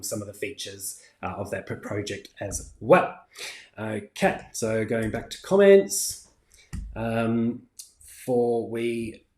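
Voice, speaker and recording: Chatty New Zealand man in an echoey craft room. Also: medium pitch. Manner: chatty, many ums, laughs easily. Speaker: craft livestream host. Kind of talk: casual live chat reading comments. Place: home craft room, indoors. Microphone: fixed camera at desk distance.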